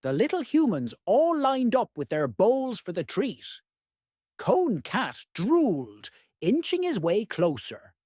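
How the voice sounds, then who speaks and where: Animated, unhurried children's storybook reader. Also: medium pitch, no room sound, studio quality. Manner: expressive, addressing young listeners. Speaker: read-aloud host. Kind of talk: expressive children's storybook read-aloud.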